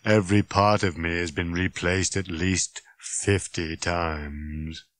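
Storybook robot: ancient and miserable, low hollow rasp, dragging every word out in gloomy complaint. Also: male voice, steady tone, fairly bright tone. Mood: self-pitying. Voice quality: hollow, rasping. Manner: slow, groaning, droning complaint. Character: worn, ancient, gloomy, self-pitying male robot of scarred metal.

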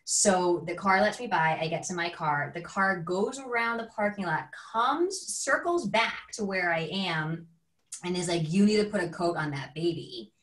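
A woman's voice: lowish voice, lively intonation, normal speed, fairly bright tone. A woman guest on a podcast, a teacher, telling stories in a rambling way full of "like" and acted-out quotes. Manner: rambling, lots of "like", acts out quotes. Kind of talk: casual storytelling chat. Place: home room, video call. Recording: echoey, distant video call audio.

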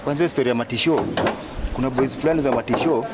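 A man's voice: mid-range voice, very fast, loud music behind the voice, lightly acted. A man playing an idle, gossiping young street guy with a Kenyan accent in an amateur radio drama. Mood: earnest. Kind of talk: amateur radio drama in slangy casual chat.

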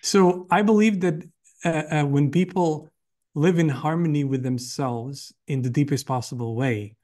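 A man's voice: a little high, darkish tone. Earnest man on a video call. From Croatia. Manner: earnest and enthusiastic, with frequent "uh" pauses. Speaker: guest, company CEO. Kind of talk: interview over video call. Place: home room indoors.

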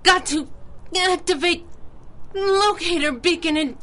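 A woman's voice: extremely high pitch, a little slow, fairly bright tone. A woman playing a plucky, wisecracking masked crimefighter in a 1930s-style radio drama, with sassy pulp patter. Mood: dazed, alarmed.

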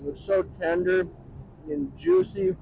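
A man's voice: extremely high pitch, sing-song, slow pace, lo-fi sound. A middle-aged man giving casual, enthusiastic, plain-spoken food commentary as the host of a vlog. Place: outdoors on a city street at night. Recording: clear street noise with passing vehicles.

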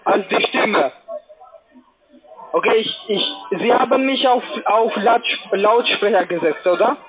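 High-voiced man on tinny phone with music. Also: a little slow. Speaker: call centre caller. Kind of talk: phone conversation. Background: music and busy call centre.

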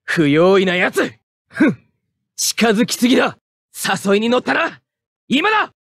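A man playing a proud, hot-headed young mecha pilot, barking short forceful attack calls in an anime fighting game. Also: very high-pitched, sing-song, measured pace, no echo, clean studio sound, exaggerated voice. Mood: taunting.

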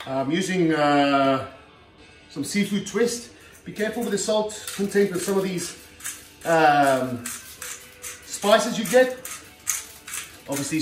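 Middle-aged man, ex-fighter, plain-spoken home cooking vlog; slightly high voice, captured from afar in a reverberant kitchen. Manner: chatty, plain-spoken, lots of fillers and "okay". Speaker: former professional heavyweight fighter giving cooking tips. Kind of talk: casual cooking demonstration. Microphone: far camera on the counter. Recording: roomy kitchen sound from a distance, faint music.

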